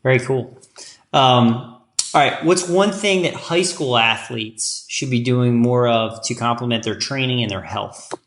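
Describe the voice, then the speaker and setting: A man hosting a podcast, asking casual interview questions with upbeat, quick affirmations. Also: medium pitch, a bit bright. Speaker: podcast host.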